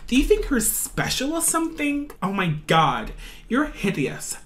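A woman's voice: fairly low pitch, wildly swooping pitch, a little slow. A woman voicing a mean, bossy schoolgirl bully who sneers and taunts, in a roleplay skit. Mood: sneering.

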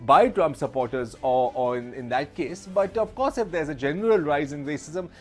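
A man's voice: on the high side, expressive, moderate pace, faint music behind. A man giving thoughtful, hesitant interview commentary as an analyst. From Pakistan. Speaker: political analyst. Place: small indoor room lined with books.

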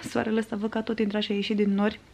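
A woman's voice: medium pitch, level tone, gravelly, a little mellow, a little hiss or hum. A woman in her twenties from Romania, a vlogger chatting to camera in a casual, rambling, conversational way. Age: 20s. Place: small furnished room at home, indoors.

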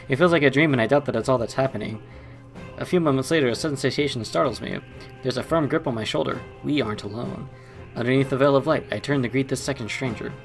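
A man's voice: medium pitch, a bit bright. A man hosting a let's play, mixing casual game commentary with reading story text aloud.

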